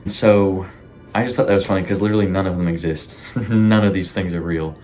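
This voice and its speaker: Very deep-voiced man rambling casually. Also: fairly even intonation, brisk, a little mellow, faint music behind. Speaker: YouTube host. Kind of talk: casual commentary on a horror series.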